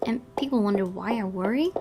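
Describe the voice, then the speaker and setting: A woman's voice: medium pitch, fairly quick, dark tone, soft background music. A woman hosting a gaming video, reading visual novel narration expressively and adding casual asides.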